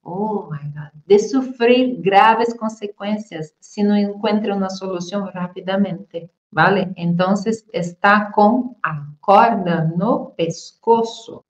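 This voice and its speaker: A woman teaching a language lesson online, explaining idioms slowly with repeated examples. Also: mid-range voice, echoey room, highs cut off. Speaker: Portuguese teacher.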